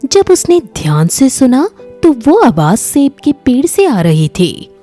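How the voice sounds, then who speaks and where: Female storyteller for children's tales: mellow, unhurried, expressive and dramatic narration. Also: high-pitched, faint music behind.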